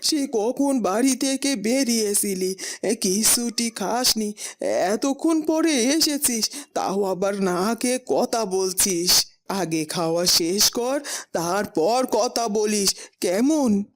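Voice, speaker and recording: A woman's voice: medium pitch, animated, slow pace. A woman playing a doting older village mother in a cartoon fairy tale, coaxing and fussing over her son. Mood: fondly scolding.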